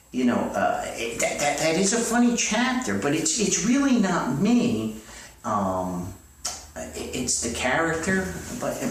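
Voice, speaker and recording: A man hosting a rambling comedic mailbag podcast, reading letters in a folksy, halting way with frequent digressions. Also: mid-range voice. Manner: folksy, halting, repeats himself, digresses often. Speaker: podcast host and author reading fan mail. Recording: roomy echo, distant mic, faint noise.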